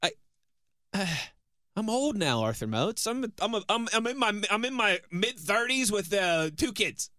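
Bright-voiced teasing sports-show co-host. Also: male voice, high-pitched, sing-song, measured pace, dry recording, studio quality. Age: 30s. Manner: teasing, chatty anecdote-teller. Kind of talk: casual sports radio banter, storytelling.

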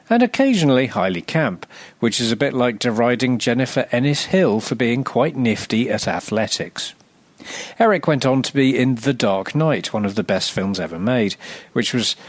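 A man reading a scripted, humorous narration as a podcast presenter, with a wry, deadpan, sardonic delivery. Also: medium pitch, no room sound.

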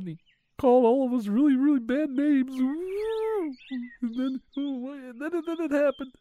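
Guy doing a high frantic nerdy dude w thick glasses, rambly, breathless. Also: warm tone, no echo, natural voice. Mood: excited. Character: flustered nerdy young man with thick glasses. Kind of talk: ASMR roleplay storytelling.

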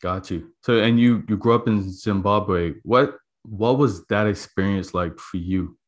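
A man in his forties hosting an interview podcast, talking reflectively with frequent pauses and fillers. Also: lowish voice. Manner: reflective, halting, many fillers. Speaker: podcast host. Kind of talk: casual interview talk. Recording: echoey room.

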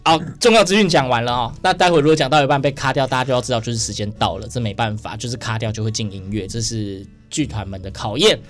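A man's voice: mid-range voice, fast talker, few pauses, faint music behind. A man with a Taiwanese Mandarin accent hosting a casual interview in a radio studio. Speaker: radio show host.

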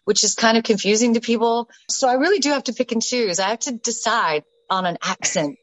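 A woman with a mixed Southern American and British accent, telling stories as a podcast guest in an animated way, laughing often. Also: a little high, a little fast, no room sound, rough recording. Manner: animated, laughing, many restarts and asides. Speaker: interview guest, stage actress and singer. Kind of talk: casual storytelling in a podcast interview.

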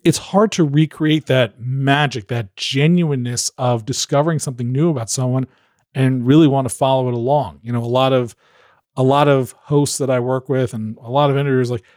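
Animated man coaching on interviews. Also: medium pitch, fairly quick, no room sound, clean studio sound. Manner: animated, acts out quoted voices. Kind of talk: advice in a podcast interview.

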